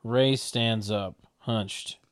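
A man's voice: lowish voice, steady tone, drawn-out words, clear, resonant tone. A man narrating a script table read in a wry manner, reading stage directions with mocking asides.

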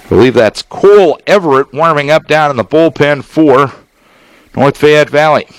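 A man with a slightly high-pitched voice delivers live baseball play-by-play in a dry, close sound. He works as a radio announcer, using clipped, measured phrases and deliberate pauses between them. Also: dry recording, poor audio. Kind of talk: live sports play-by-play commentary.

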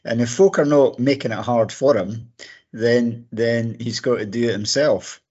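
A middle-aged or older man with a slightly hoarse voice, co-hosting a podcast with casual sports analysis. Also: medium pitch, steady tone, measured pace, darkish tone, dull audio. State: a bit hoarse. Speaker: podcast co-host. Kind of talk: casual sports commentary chat.

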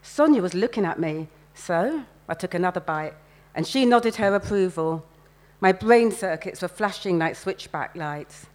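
A woman's voice: lowish voice, expressive, moderate pace, a little mellow. A woman, an author reading first-person fiction aloud expressively at a live event.

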